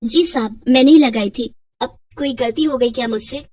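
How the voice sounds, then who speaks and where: A woman's voice: high voice, moderate pace, darkish tone, breathy edge, no room sound, far from the mic. A woman voicing a humble, earnest young wife in a story, polite and eager.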